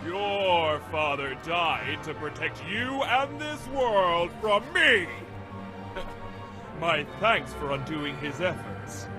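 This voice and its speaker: Villainous sorcerer, gloating, high swooping voice, very slow, game music behind. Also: male voice, sing-song. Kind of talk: video game voice acting. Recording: game audio over loud music.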